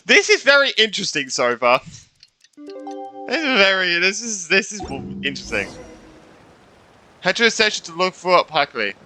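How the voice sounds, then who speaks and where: A man's voice: high-pitched, big pitch swings, normal speed, long pauses, sharp tone. A young man casually commenting while playing a game and reading its dialogue aloud, his voice tired and strained. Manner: chatty, reads game dialogue aloud, says bro a lot. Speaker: gaming streamer. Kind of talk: casual let's-play commentary. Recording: game music underneath.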